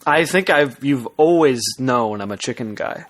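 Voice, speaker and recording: Man, average pitch, casual comedy podcast chat, shared bedroom microphone. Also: animated, normal speed. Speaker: podcast host. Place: bedroom, under a bunk bed.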